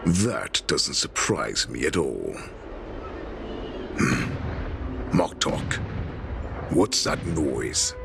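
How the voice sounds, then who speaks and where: An actor playing a savage, proud wolf-like warlord in a video game, growling with menace and contempt. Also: male voice, very animated, extremely slow, long pauses, noisy background, exaggerated voice. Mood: cold scorn. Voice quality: deep gravelly growl. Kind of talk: theatrical video game villain acting. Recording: dramatic music behind. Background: music.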